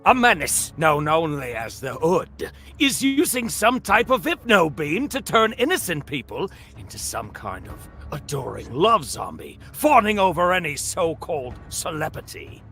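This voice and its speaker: A man's voice: fairly high pitch, very animated, measured pace, hardly any pauses, bright, forceful tone, faint music behind. A man narrating a comic book table read in a dramatic, announcer-like cartoon style.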